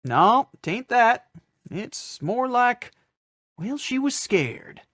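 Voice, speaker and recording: Fretful, folksy storekeeper, lively swooping pitch. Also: male voice, drawn-out words, no echo, clean studio sound, heavily acted. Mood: chatty, folksy. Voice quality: folksy rural diction. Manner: hesitant, chuckling, fussing. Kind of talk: radio western acting.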